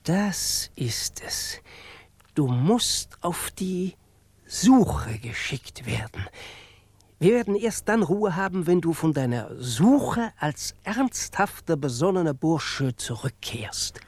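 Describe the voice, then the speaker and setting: An actor playing a grumbling, exasperated wizard mentor in a radio play, theatrical and solemnly prophetic. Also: male voice, fairly high pitch, sing-song, slow, darkish tone, slightly rough, no echo, heavily acted. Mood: solemn, portentous.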